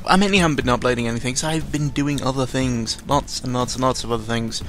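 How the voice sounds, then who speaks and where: A man's voice: mid-range voice, a bit bright. Sarcastic, rambling young man over music. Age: young adult. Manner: rambling, halting, full of self-interruptions; sarcastic, self-deprecating, exasperated sighs and swearing. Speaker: YouTuber recording an audio log. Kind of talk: casual unscripted news commentary. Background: background music.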